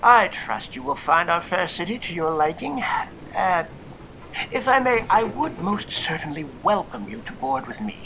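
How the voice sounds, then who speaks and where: A man's voice: high voice, sing-song, normal speed. A man as a grandiose, swooping explorer captain. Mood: jovial, grand. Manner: pompous, florid, effusive. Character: Djerba, grandiose, hospitable bearded male explorer captain. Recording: clear background ambience noise.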